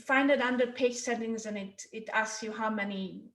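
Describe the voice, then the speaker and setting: A woman's voice: fairly high pitch, moderate pace, fairly bright tone, roomy sound, low-quality recording. A woman from Hungary with a Hungarian accent, presenting a marketing workshop in an informal, chatty way and often checking in with her listeners. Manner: chatty, checks in with "okay?" and "right?". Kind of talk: informal online teaching talk.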